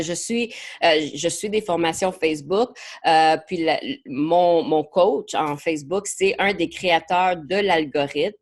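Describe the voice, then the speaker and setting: Chatty English Canadian woman coaching. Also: lowish voice. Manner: chatty, mixes English words into her French; many hesitations and repeated words. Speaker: marketing coach. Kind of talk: live online training session.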